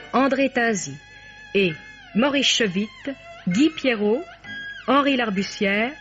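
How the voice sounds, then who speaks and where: Slow-paced woman announcer on vintage radio. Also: medium pitch. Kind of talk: formal scripted credits announcement, 1950s French radio. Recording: dull vintage radio recording. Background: music.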